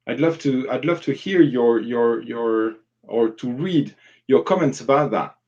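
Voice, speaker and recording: A man's voice: medium pitch, unhurried, a little mellow, highs cut off. A man giving informal, explanatory advice as a workshop facilitator in a live online discussion, illustrating points with example questions.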